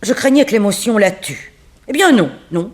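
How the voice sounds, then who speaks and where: A woman playing a composed, unsentimental figure in a 1960s French radio mystery, telling things dramatically with weighted pauses. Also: mid-range voice, animated, lightly acted. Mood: somber. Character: composed, unsentimental woman recounting a family tragedy. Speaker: actress in a radio serial.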